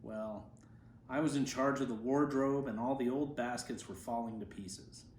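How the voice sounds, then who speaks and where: A man reading the words of a chatty, humble monk in his seventies, plain-spoken and gently amused, for a story. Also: medium pitch, fairly even intonation, moderate pace, natural voice. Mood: reminiscing. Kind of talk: storybook reading of quoted speech. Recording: roomy echo, faint background noise.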